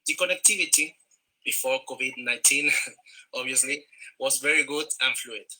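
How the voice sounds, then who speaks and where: A man from Argentina speaks English with an Argentine Spanish accent, a native Spanish speaker who stumbles along with plenty of fillers and repeated words. His voice is very bright and sharp, sitting at an average pitch, and he comes through a video call mic as he walks through a tourism presentation. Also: normal speed, rough recording.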